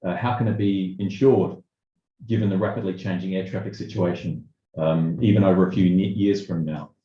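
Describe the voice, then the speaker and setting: A man with a mellow, fairly low voice moderates an online Q&A, phrasing things in a measured, hesitant way. His video call audio is roomy and a little dull. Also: fairly even intonation. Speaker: Q&A facilitator. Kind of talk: webinar moderation, asking questions.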